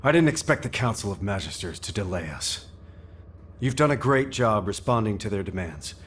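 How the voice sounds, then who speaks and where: A man playing a calm, supportive military commander in a sci-fi video game, speaking with authority. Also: lowish voice, moderate pace, a little hiss or hum. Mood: calm resolve.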